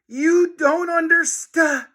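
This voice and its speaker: Man playing a frantic, high-pitched schoolboy. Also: fairly even intonation, slow pace, pushed and bright, full character voice. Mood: frantic, pleading. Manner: stammering, exclaiming. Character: frantic, pleading schoolboys in trouble. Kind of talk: children's storybook character reading.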